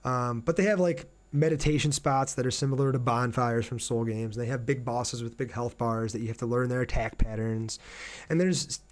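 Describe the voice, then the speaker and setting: Guy streaming a game, just chatting with viewers, reads comments and answers, normal mid pitch voice. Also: fairly even intonation, normal speed. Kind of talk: casual livestream chat over gameplay.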